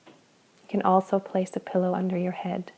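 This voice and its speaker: A woman leads a guided body scan in a soft, unhurried voice, leaving long pauses between her phrases. Her tone is warm and mellow. She invites rather than instructs. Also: lowish voice, completely flat delivery, vocal fry, breathy edge, low-quality recording. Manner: slow, soft, gentle guidance with long pauses. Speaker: meditation guide.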